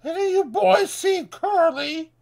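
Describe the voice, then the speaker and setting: High, taunting young ranch wife. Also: male voice, unhurried, gravelly, darkish tone, exaggerated voice. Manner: taunting, pouty, rural slang. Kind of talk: dramatized audiobook character voice.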